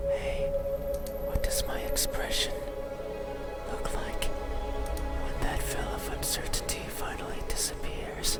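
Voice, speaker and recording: A performer voicing an eerie, melancholic faceless creature in a horror game, speaking in a halting, intense whisper. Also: natural voice. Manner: slow, halting, stiff and intense. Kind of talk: whispered horror ASMR monologue.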